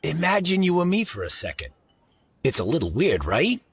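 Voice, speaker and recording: A man's voice: fairly high pitch, huge pitch swings, normal speed, slight background noise. A man playing a kindly, blunt, fatherly market merchant in a game cutscene, plainspoken and emphatic. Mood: earnest, exasperated. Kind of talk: video game cutscene acting.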